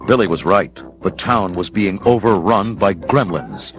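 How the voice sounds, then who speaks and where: A man's voice: sits low, animated, measured pace, a bit bright. A man reading scripted, dramatic storybook narration. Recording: music behind the voice.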